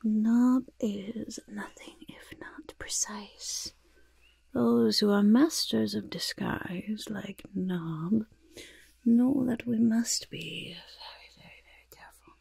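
A woman playing a sly, fussy goblin master of disguise in a hushed, breathy ASMR roleplay, speaking deliberately and close to the microphone. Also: on the high side, no room sound, heavily acted. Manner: slow, deliberate, refers to self in third person. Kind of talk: ASMR roleplay, soft-spoken whisper.

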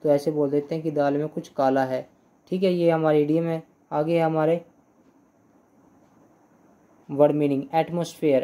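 A young man teaching English grammar in Hindi, going slowly through example sentences, repeating them and often checking "clear?". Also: fairly high pitch, mellow. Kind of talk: Hindi-language grammar lesson.

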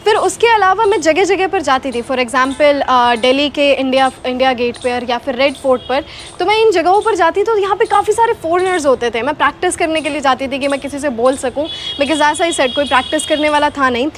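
Very high-voiced young Indian woman explaining. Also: expressive, fairly quick, a little hiss or hum. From Haryana, India. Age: young adult. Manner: mixes Hindi and English, explanatory, gestures with hands. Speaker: guest, English accent coach. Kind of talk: casual interview answers. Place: outdoor garden courtyard under shade net. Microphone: clip-on lapel mic.

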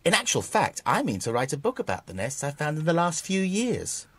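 A man's voice: on the high side, wildly swooping pitch, a little fast, breathy edge, full character voice. An actor with a British accent playing a shifty, over-polite man who poses as a friendly bird lover in a children's audio drama. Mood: affably curious.

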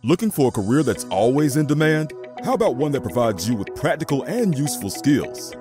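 A man narrates a recruitment ad in a mid-range, highly varied voice. Clear music runs under the scripted read. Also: big pitch swings, brisk, a little mellow. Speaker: commercial announcer. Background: music bed.

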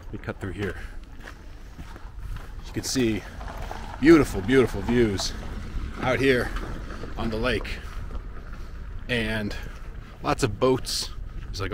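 Man in his thirties, slightly low voice, chatty and easygoing, camera at arm's length outdoors, faint background noise. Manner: easygoing, chatty, many fillers, walking while talking. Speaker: travel vlogger. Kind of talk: casual travel vlog narration. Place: outdoors by a lake and parking lot. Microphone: handheld action camera at arm's length.

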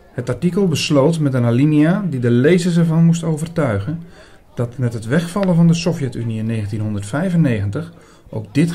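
A man playing an earnest priest who narrates a mystery audio drama in a measured, bookish, formal way. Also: mid-range voice, dark tone, slightly rough. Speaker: narrator and lead actor.

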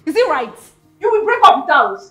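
A woman's voice: sits high, very animated, fairly quick, a bit bright. A woman with a Nigerian accent playing an outraged, bossy, protective sister, insistent and repetitive, in a screen drama. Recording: echoey room, faint music behind.

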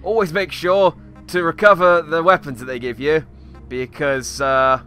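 A man's voice: on the high side, steady tone, measured pace, sharp tone, faint music behind. A man voicing a bumbling, boastful Australian survival expert with a wandering accent, rambling through mock lessons as game commentary. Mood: playful rambling. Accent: put-on wandering Australian. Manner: hesitant, rambling, mock-instructional. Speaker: gameplay commentator. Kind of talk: improvised in-character comedic game commentary.

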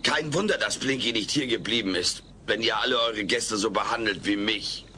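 A wisecracking little alien for an audio play. The voice is low and piercing, with a dry, indignant bite. There is faint cassette noise. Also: male voice, normal speed, sharp tone. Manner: dry, sarcastic. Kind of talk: German children's audio play acting. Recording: slightly noisy old cassette.

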